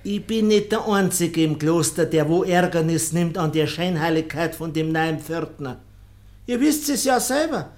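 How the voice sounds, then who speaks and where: An actor playing an envious, gossiping lay brother in a radio play, needling and insinuating with a Bavarian accent. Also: male voice, a little high, expressive, moderate pace, a little mellow, natural voice. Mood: indignant, insinuating. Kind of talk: radio play dialect acting.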